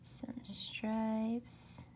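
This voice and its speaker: A young woman hosting a calm ASMR painting tutorial, speaking softly and often slipping into whispers. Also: a little high. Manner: soft, often whispered, unhurried. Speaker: art tutorial host. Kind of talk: soft-spoken ASMR drawing tutorial.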